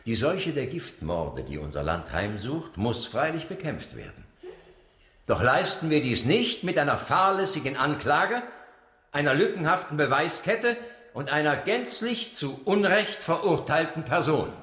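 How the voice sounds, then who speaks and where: An actor playing an eloquent, indignant defence lawyer in a period radio drama, declaiming rhetorically. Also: male voice, fairly high pitch, big pitch swings, a little slow, fairly bright tone. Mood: solemn pleading. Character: impassioned, eloquent male defence lawyer in 17th-century Paris. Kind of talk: radio drama courtroom oratory. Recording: echoey courtroom-like room.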